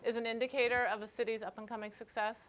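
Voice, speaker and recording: A woman's voice: fairly high pitch, level tone, rapid-fire, background noise. A woman interviewing a guest, asking questions and murmuring agreement attentively. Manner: attentive, frequent affirming murmurs.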